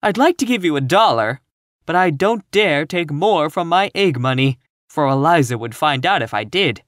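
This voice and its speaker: A narrator voicing a plump, timid but cheerful middle-aged woman in a storybook, earnest and gently insistent in her optimism. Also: mid-range voice, animated, normal speed, dry recording, clean studio sound, pitched above the performer's own voice, lightly acted. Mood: breathless, hopeful.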